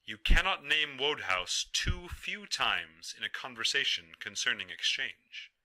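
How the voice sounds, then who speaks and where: A man telling a folktale in a measured, emphatic storyteller's delivery. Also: mid-range voice, sing-song, bright, forceful tone, poor audio. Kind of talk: dramatic folktale narration.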